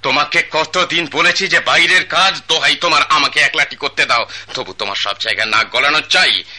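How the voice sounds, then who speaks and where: An actor playing a pompous, quick-tempered wealthy father in a radio drama, scolding his wife and son. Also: on the high side, sharp tone. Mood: angry.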